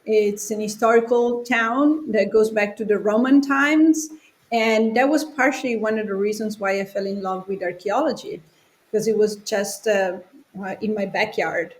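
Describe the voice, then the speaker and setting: A woman in her forties from Italy speaks English with an Italian accent at a medium pitch. She answers interview questions conversationally, pausing and feeling for her words. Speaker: podcast guest, children's author.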